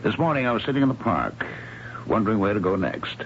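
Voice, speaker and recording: A muffled, hissy vintage radio drama recording of a brooding middle-aged husband haunted by failure. His gravelly voice swings dramatically up and down as he speaks in grand, rhetorical phrases. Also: on the low side, very animated, fast talker. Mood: bitterly dramatic. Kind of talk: old-time radio drama acting.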